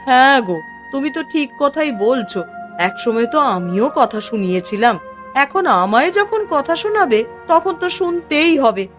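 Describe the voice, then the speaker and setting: Woman as cartoon village housewife, middle-aged, high pitch, very lively intonation, expressive. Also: normal speed, faint music behind. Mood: worried, regretful. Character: emotional village mother and neighbour wife, middle-aged. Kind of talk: Bengali moral-story cartoon voice acting.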